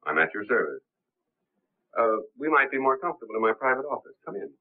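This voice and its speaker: A man in his forties playing a suave, composed gentleman crime fighter in 1930s film style. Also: mid-range voice, very animated, a little fast. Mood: courteous, smooth. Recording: scratchy, tinny 1930s film soundtrack.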